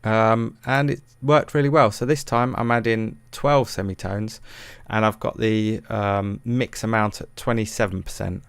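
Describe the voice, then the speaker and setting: A man giving a casual software tutorial in a hesitant, rambling, informal and self-deprecating way. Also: lowish voice, fairly even intonation, measured pace, vocal fry, hardly any pauses, clear, resonant tone, no echo. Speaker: music production tutorial presenter.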